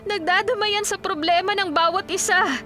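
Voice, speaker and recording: Very high-voiced pleading young daughter. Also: female voice, moderate pace. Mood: sorrowful pleading. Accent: Filipino. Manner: pleading, emotional. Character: pleading young adult daughter, respectful and earnest. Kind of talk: radio drama acting. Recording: loud dramatic music behind. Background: dramatic music.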